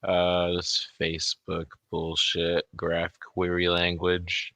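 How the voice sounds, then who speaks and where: A man's voice: deep voice, completely flat delivery, slow pace, pushed and bright, no room sound. A man in a casual chat, explaining things offhandedly, self-deprecating and swearing casually. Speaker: guest, software developer.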